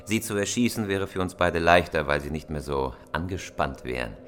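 A man playing a cold, commanding professional robber in a crime radio drama, curt and matter-of-fact with quiet menace. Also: sits low, normal speed, soft background music. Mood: cool threat.

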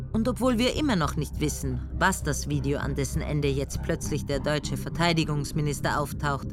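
A woman narrating a radio drama in a measured, serious way. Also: low voice. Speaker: narrator and investigator character.

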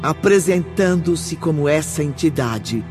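A man narrating a scripted drama as storyteller, with emphatic, theatrical pauses. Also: a little high, expressive, music in the background.

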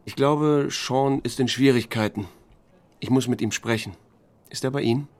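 A man's voice: medium pitch, moderate pace, a little mellow, no echo, close to an everyday voice. A man playing a laconic small-town sheriff who tells his own story in an audio drama, with a dry, understated manner. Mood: dry, probing. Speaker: narrator and lead actor. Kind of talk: first-person audio drama narration with dialogue.

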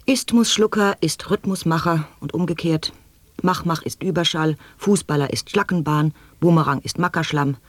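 An actor in a radio play declaiming nonsense sound poetry in slow, halting word-by-word exclamations. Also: male voice, on the high side, a bit bright, no echo.

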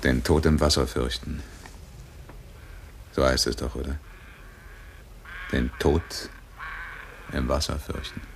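Deep-voiced, brooding widower. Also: male voice, steady tone, takes it slowly, gravelly, pauses often, slight background noise, full character voice. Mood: subdued, tense. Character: brooding, laconic widower telling his own story. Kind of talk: radio drama acting and first-person narration.

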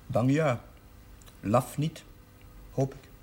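An earnest, hesitant chaplain, polite and good-natured, in a mid-pitched voice. It is period television drama with faint noise on the recording. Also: male voice, drawn-out words, a little mellow. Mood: uneasy, earnest.

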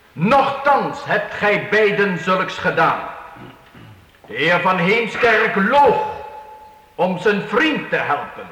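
Commanding medieval count in echoing hall. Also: male voice, high-pitched, pushed and bright, slight background noise, heavily acted. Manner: solemn, authoritative, formal. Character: stern, commanding medieval count passing judgment. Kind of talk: Dutch radio play acting. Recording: reverberant hall, old radio play.

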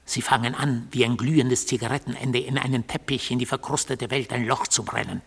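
Husky, gravelly obsessive defendant. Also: male voice, mid-range voice, steady tone, a little fast. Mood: fervent. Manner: insistent, precise, rhetorical. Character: obsessive male defendant, a mystical god testifying in court. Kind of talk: 1970s German radio play acting.

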